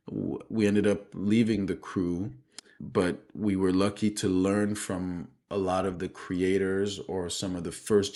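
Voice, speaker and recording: A man from Benin speaking reflectively in an interview as a guest, thoughtful and halting, often adding "you know". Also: sits low, a little mellow. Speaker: guest, street dancer and choreographer.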